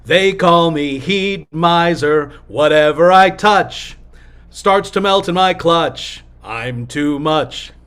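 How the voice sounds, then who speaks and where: A man voicing a boastful male weather spirit who chants his titles slowly. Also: fairly high pitch, fairly bright tone, smooth. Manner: slow, sing-song boasting chant. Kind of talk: live improv comedy.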